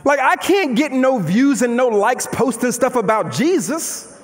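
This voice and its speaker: A man in his thirties preaching an animated, conversational sermon on a large church stage, firing off rhetorical questions while he moves about. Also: very high voice, measured pace. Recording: long hall reverb.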